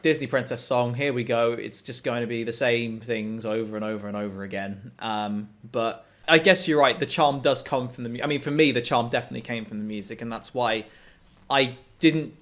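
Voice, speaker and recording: Man co-hosting, medium-pitched voice. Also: a bit bright. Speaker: co-host of a music show. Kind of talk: casual music discussion.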